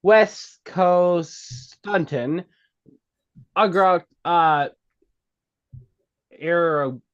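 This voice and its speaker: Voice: mid-range voice, extremely slow, clean tone, muffled recording. An actor at a script table read playing a blunt, insistent comedy club worker, emphatic and stumbling over lines. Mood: earnest, forceful.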